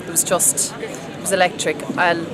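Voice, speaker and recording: A woman's voice: lowish voice, level tone, moderate pace. An Irish woman in her forties, a race participant, speaking in a heartfelt, emotional way in a street interview. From Ireland. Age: 40s. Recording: loud noise and crowd.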